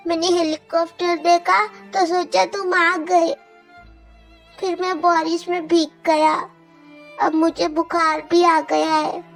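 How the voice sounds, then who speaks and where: Woman voicing a very high-pitched, plaintive little boy. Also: creaky, exaggerated voice. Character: sad little boy, clingy, missing someone. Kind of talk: dramatized Hindi audio story. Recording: music behind the voice.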